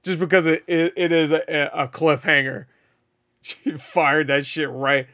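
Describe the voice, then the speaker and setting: A man in his thirties reacting to a show from home on a headset mic, excitable, swearing and laughing, with his voice going hoarse. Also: fairly high pitch, measured pace, dry recording, clean studio sound. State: losing his voice. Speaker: reaction video host. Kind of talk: casual reaction commentary. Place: home room, indoors.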